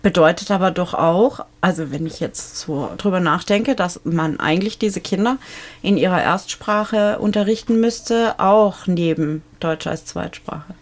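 A woman's voice: fairly low pitch, a little slow. A woman moderating an expert discussion, thinking aloud and asking follow-up questions. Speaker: discussion host.

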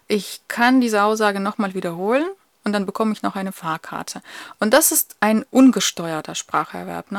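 A woman explains her field in an interview, leaning on examples and little tag questions. Her voice sits in a middle pitch and is recorded dry and close, with hardly any room around it. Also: no echo. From Russia. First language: Russian. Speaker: interviewed lecturer.

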